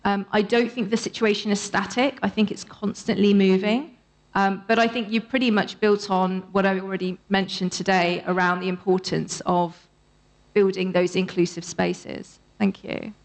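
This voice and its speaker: Measured woman answering as an academic panellist. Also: medium pitch, little pitch movement. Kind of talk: answering audience questions on a panel. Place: conference panel at a summit.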